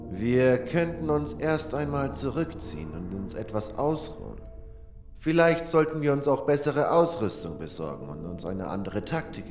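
A man's voice: medium pitch, lightly acted. A man playing a weary, earnest adventurer in a fantasy fan audio drama. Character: weary, worried adventurer comrade in a fantasy party. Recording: roomy echo, faint music behind.

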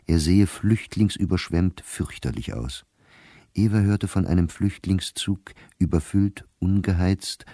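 Very deep, husky, weary diarist. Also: male voice, warm tone, no room sound, studio quality, heavily acted. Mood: tense reporting. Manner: measured, deliberate, clipped diary phrasing. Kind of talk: literary diary reading in a radio play.

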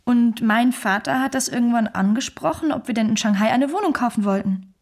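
A woman's voice: on the high side, brisk, darkish tone. A woman playing a firm Chinese wife who corrects her husband and explains things matter-of-factly, in documentary radio drama dialogue. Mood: firm, explanatory.

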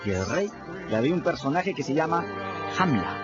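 An actor playing a lively runaway boy who tells his river adventures colloquially in a radio drama. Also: on the high side, normal speed, lo-fi sound. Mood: storytelling. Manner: colloquial, animated storytelling. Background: music.

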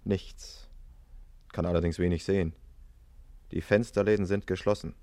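An actor playing a brisk, matter-of-fact police corporal in a 1950s German radio drama. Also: male voice, sits low, steady tone, darkish tone, lightly acted. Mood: dutiful.

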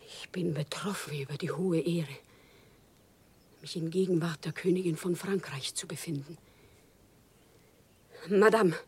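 Leidenschaftliche Bittstellerin aus dem Volk, trockener Klang. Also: female voice, medium pitch, breathy edge, dry recording. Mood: pleading. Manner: fervent, solemn, declamatory. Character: impassioned naive commoner woman pleading for a prisoner. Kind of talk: German radio play, theatrical stage diction.

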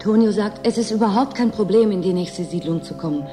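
An actress playing a hopeful, pleading woman in a radio drama, gently persuading her companion. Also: mid-range voice, fairly quick, natural voice. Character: hopeful, pleading female companion at a remote station. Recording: music behind the voice.

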